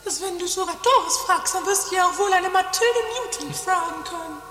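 A woman's voice: very high-pitched, brisk, pushed and bright. A woman voicing a self-important, dramatic and bickering sister in an amateur comedy radio play. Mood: indignant, haughty. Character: Mathilde, haughty, bickering, dramatic castle-dwelling sister.

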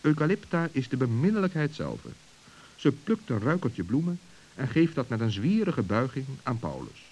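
A man's voice: medium pitch, big pitch swings, normal speed, a little mellow, husky, low-quality recording. A man narrating a children's radio play as a storyteller from a script. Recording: old recording with faint hiss.

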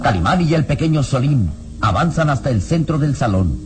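A man's voice: medium pitch, lively intonation, a little fast, loud music behind the voice. A man narrating a radio drama with solemn, suspenseful, scripted storytelling.

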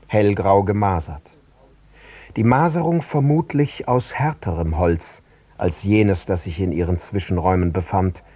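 A man with a lowish, husky voice reads literary prose in a very dry, close sound. His delivery is measured, placing one phrase after another. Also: warm tone, no echo. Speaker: narrator reading literary prose. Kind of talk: scripted literary narration.

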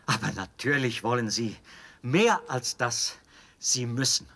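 An actor playing a fanatical officer in a radio play, speaking with an insistent, commanding fervour and a husky voice. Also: male voice, medium pitch, animated, a little slow, pushed and bright, heavily acted. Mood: fervent, urging. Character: fanatical officer devoted to an old execution machine. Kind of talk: German radio play acting.

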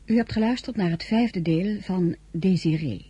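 Radio announcer reading credits, husky mellow voice, muffled, bit of music behind. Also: medium pitch, moderate pace, no echo.

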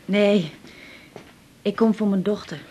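A woman's voice: mid-range voice, slow pace, mellow, slight background noise, natural voice. An actress playing a polite, reserved middle-aged mother of a doctor in a radio drama. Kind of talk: 1980s radio drama acting.

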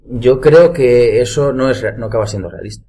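A man's voice: mid-range voice, normal speed, warm tone, husky. A man chatting casually as a podcast guest. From Spain. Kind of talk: casual chat.

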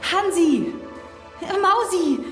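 A woman's voice: extremely high pitch, drawn-out words, heavily acted. An actress playing a desperate, defiant woman in her twenties accused of murder, pleading indignantly in a radio drama. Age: 20s. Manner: pleading, indignant, foul-mouthed. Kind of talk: radio drama dialogue acting. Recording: roomy courtroom echo, faint music.

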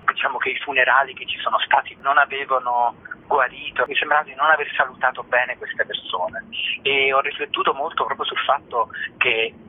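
Casting note: male theatre artist, husky mid-pitched voice, hesitant and personal, dull radio interview sound. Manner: hesitant, searching for words, personal. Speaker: theatre actor and author, interview guest. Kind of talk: reflective conversational interview.

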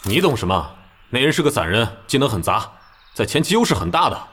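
A man's voice: medium pitch, big pitch swings, brisk, a bit bright, slight background noise. A man voicing a brusque, hot-tempered young male gamer who barks curt orders in an audio drama. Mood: dismissive. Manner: curt, commanding.

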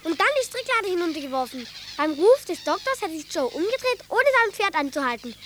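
Imaginative boy bragging about his adventure, bright and animated, radio drama, slight background noise. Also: extremely high pitch, a little fast, exaggerated voice. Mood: thrilled. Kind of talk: radio play acting.

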